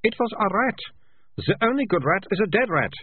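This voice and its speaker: A man voicing a sharp-tongued, bossy young girl who speaks with scorn. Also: sits high, very animated, brisk, husky, pitched above the performer's own voice, exaggerated voice. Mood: scornful. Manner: scornful, indignant. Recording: tinny, dry, lo-fi narration.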